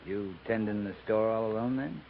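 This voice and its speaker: A halting cavalry captain, thin old-radio sound. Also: male voice, fairly low pitch, creaky, a little hiss or hum. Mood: tentative, fond. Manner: halting, bashful, plain frontier speech. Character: tired, shy, plain-spoken cavalry captain. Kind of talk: 1950s radio western acting. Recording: narrow-band vintage 1950s radio recording.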